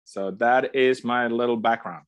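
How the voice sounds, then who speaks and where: A middle-aged man with a Finnish accent talks through his answers in brief, matter-of-fact phrases. His first language is Finnish, and his pitch sits in an ordinary middle range for a man. The recording is close and dry. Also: fairly even intonation, measured pace, smooth, no echo. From Finland. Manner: plain, matter-of-fact, short listing phrases. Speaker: soccer coach and guest. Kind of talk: casual interview answers.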